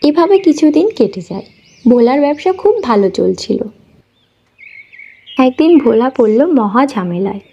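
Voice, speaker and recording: Female cartoon narrator: high, soft-toned delivery, scripted storytelling, slightly dull audio over music. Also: very animated, unhurried, long pauses.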